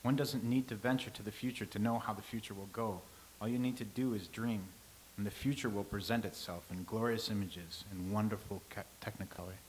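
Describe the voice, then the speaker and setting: A man reading the part of a calm, philosophical old-time scribe, speaking in a measured, lecturing way. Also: lowish voice, slight background noise, natural voice, rough recording. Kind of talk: staged reading.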